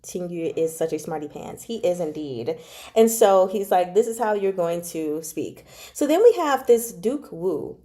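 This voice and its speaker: A woman chatting casually as she retells a story, in an animated, giggly, slangy way that often wanders off track. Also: lowish voice. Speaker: book club vlogger. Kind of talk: casual chat retelling a novel.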